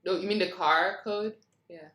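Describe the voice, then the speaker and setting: A woman's voice: fairly low pitch, normal speed, a bit bright, a little hiss or hum. Polite, questioning woman in echoey room. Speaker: writer asking for feedback. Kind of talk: casual question and answer.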